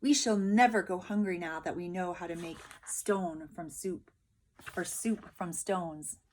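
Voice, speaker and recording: A woman's voice: fairly low pitch, a little slow, a little mellow, a little hiss or hum, close to an everyday voice. A woman reading the part of simple, awestruck village peasants in a storybook, earnest and emphatic. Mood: grateful, admiring.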